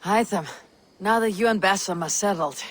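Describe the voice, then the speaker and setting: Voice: mid-range voice, normal speed, natural voice. A performer playing a loyal, plain-spoken Norse raider in a video game cutscene. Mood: calm, curious. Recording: faint ambient noise and clicks.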